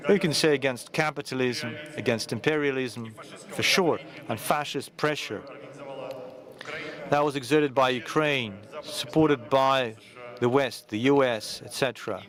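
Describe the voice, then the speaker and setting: A man speaks at a forum in a mid-range voice, keeping his remarks loose and informal. He pauses often and restarts his sentences as he finds his way. He addresses the room as a journalist organizing the event. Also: mid-range voice, crowd noise. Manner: hesitant, with frequent pauses and restarts. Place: large conference hall.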